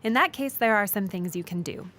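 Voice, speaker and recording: A woman's voice: medium pitch, lively intonation, a little fast, a bit bright, close to an everyday voice. A woman playing an earnest but hopeless lawyer, confidently matter-of-fact, in an audio comedy sketch. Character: inept, earnest lawyer who was recently a cow.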